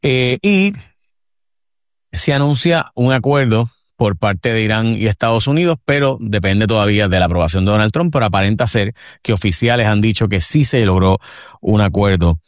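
A man's voice: fairly low pitch, no echo, clean studio sound. A man hosting a talk show, laying out topics with hesitant restarts and fillers.

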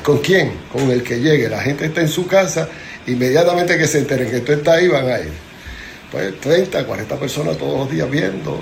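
A man's voice: on the high side, animated, a little fast, gravelly, a little hiss or hum. Older Puerto Rican man telling his story. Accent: Puerto Rican Spanish. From Puerto Rico. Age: 70s. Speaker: guest, motivational speaker and author. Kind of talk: casual interview conversation.

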